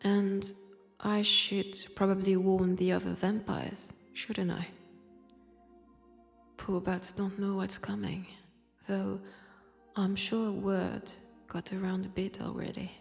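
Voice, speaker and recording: A woman's voice: medium pitch, level tone, slow, gravelly, soft background music. A woman with a French accent playing a wistful, wry centuries-old vampire in a convent, in an intimate audio roleplay, hesitant and sighing. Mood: wry, exasperated. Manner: hesitant, sighing, drifting into near-whispers. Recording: long church-like reverb.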